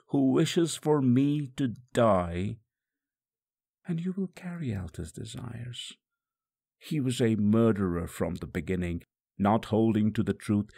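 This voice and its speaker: A man delivers dramatic religious narration in the first person. His voice is gravelly and unhurried, with a solemn, emphatic delivery. The recording is dry and close. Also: medium pitch, warm tone, dry recording. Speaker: audiobook narrator.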